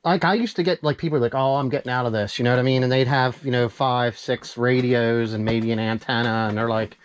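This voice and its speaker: A man's voice: mid-range voice, fairly even intonation, a little fast, clean tone. A man in casual hobby chat, speaking in an enthusiastic, agreeable and rambling way as a visiting vlogger. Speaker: vlogger visiting a radio collector.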